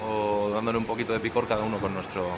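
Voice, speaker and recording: A male band musician calmly explaining how the band writes its songs. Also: lowish voice, fast talker. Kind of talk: casual interview. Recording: echoey noisy room.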